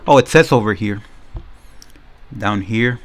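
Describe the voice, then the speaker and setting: A man's voice: lowish voice, unhurried, no room sound. A man giving a casual, unscripted hands-on demonstration as a product reviewer, narrating each step and often repeating himself.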